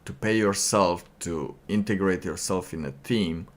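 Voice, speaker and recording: Male streamer, deep register, unhurried and halting, thinking aloud in loose, trailing phrases. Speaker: livestreamer. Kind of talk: casual livestream monologue.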